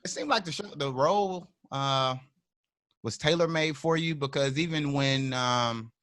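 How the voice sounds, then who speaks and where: A man's voice: mid-range voice, a little slow, a bit bright. Man co-hosting, loose and slangy. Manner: loose, stammering restarts, slangy. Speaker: podcast co-host. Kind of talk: casual chat.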